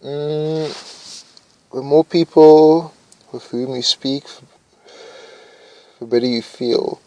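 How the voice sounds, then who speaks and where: A man's voice: on the high side, steady tone, takes it slowly, rough recording. A young man casually reading personality test questions aloud and answering them, mumbling and thinking aloud with hesitations. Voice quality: says his voice is loud.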